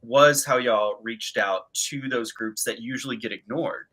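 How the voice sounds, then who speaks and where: A man with a bright, sharp edge to his voice, hosting a relaxed interview. He speaks earnestly and works through his thoughts aloud, restarting phrases as he goes. His pitch sits in an ordinary middle range. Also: a little slow, breathy edge. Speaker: podcast host, interviewer. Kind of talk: casual conversational interview.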